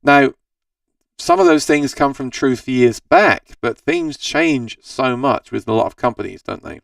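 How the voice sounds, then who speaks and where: A man's voice: mid-range voice, measured pace, creaky, smooth, no room sound, studio quality, distant mic. A man hosting a casual live stream about guitars, answering viewer comments in a rambling, self-correcting way, his voice tired and scratchy. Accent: Australian. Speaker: host of a live gear Q&A stream.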